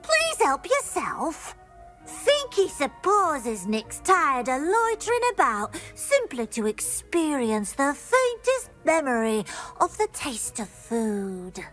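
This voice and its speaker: An actress playing a kindly, wistful little house elf in a video game, speaking in a gentle, expressive manner. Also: female voice, sits high, slow pace, soft background music, heavily acted. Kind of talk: video game character acting.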